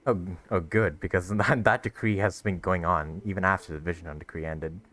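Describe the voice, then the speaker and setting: A man's voice: low voice, steady tone, fairly quick, fairly bright tone. A man playing through a video game, reacting expressively and reading dialogue aloud. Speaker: gaming streamer. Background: faint game music.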